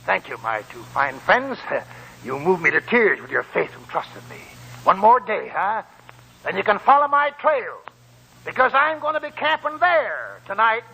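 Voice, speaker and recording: Old American prospector, high creaky voice, sharp and piercing, folksy, mocking, gleeful, vintage radio play. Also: male voice, very animated, moderate pace, slight background noise, heavily acted, low-quality recording. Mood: sarcastic. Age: older. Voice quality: raspy, creaky. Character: wily, gleeful old gold prospector, cackling and scornful. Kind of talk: 1940s radio drama acting. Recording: old 1940s radio broadcast.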